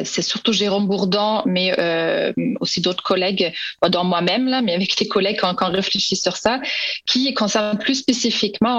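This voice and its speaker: Non-native woman academic, searching for words, mid-pitched, on a dull, dry remote recording. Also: fairly bright tone, no echo. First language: not French. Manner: hesitant, many fillers and restarts. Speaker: researcher guest. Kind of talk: academic interview answers.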